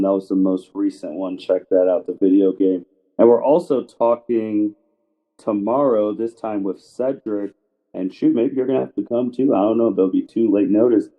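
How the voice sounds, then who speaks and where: A man hosting a podcast in a casual, chatty style over a remote call. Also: on the low side. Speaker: podcast host. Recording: thin phone-like remote call audio.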